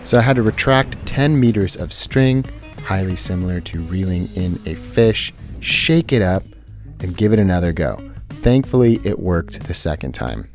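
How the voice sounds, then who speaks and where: A man's voice: deep voice, expressive, normal speed, soft background music. A man hosting a home renovation vlog, plainly explaining each step of a DIY job in a casual way. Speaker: host of a home renovation channel.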